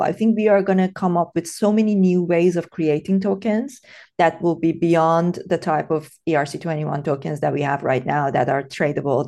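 A woman's voice: fairly low pitch, a little mellow. A woman from Iran hosting a casual podcast interview, thoughtful and hesitant, with many fillers and restarts.

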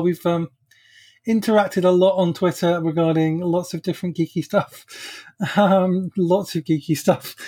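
A man's voice: sits high, dark tone. A man hosting a podcast, speaking casually and hesitantly with many "um"s. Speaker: podcast host. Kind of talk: casual conversation.

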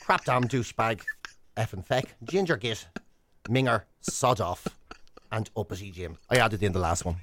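An Irish man hosting a breakfast radio show, bantering in a mock-earnest, deadpan comic way. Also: fairly low pitch, moderate pace, heavy background noise. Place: radio studio.

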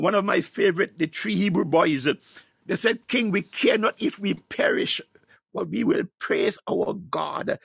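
A man's voice: sits high, creaky, fairly bright tone, husky, no echo. A man preaching a fervent charismatic sermon, exclamatory and repetitive, reciting scripture and breaking into praise.

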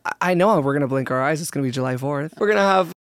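A hoarse podcast co-host in casual chat, joking and rambling with lots of slang. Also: female voice, low-pitched, very fast, no room sound, clean studio sound.

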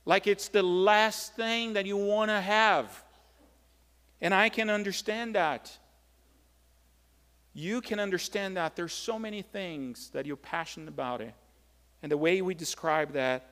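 A male pastor with a Brazilian accent speaks in a noticeably high-pitched voice, with long reverb from the hall around him. His sermon is conversational and animated, built on personal storytelling in English as a second language. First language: Portuguese. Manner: animated storytelling, acts out short exchanges.

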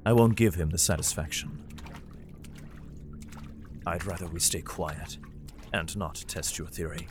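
A man's voice: deep voice, a little slow, long pauses, noisy background. An actor playing a weary, protective father and guarded survivor, with tense, brooding narration in an audio drama. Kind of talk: audio drama acting with first-person narration.

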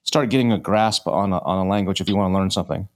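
A man's voice: low voice, fast. Man hosting, long rambling questions. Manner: asks long rambling questions, repeats himself. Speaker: podcast host. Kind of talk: interview questions, casual chat.

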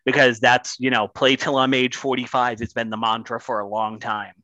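A man's voice: medium pitch, little pitch movement, normal speed, bright, forceful tone, no echo. A man co-hosting a casual sports podcast, building his points with repeated phrases. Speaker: podcast co-host.

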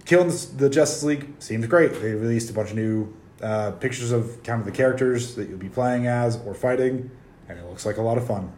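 A man's voice: medium pitch, fairly quick, a little mellow. Young man with a stuffy nose. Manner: laid-back, rambling, casual swearing. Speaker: podcast co-host. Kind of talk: casual chat. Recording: echoey room with faint hiss.

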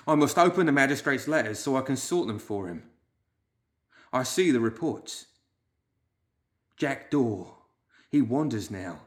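A man's voice: medium pitch, lively intonation, voice pushed up, close to an everyday voice. Man voicing a whimpering, haunted, frightened clerk. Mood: haunted, grave. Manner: whimpering, wailing, drawn-out fearful delivery.

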